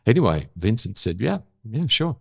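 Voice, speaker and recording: An older man, telling stories in conversation in a thoughtful, halting way that often corrects itself. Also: on the low side, very animated, gravelly, husky, no echo, studio quality. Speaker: interview guest, former journalist and actor. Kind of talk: reflective conversational storytelling.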